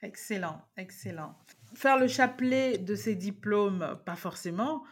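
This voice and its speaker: Effusive woman podcast host. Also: mid-range voice. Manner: warm, effusive, quick bursts of speech. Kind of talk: conversational interview.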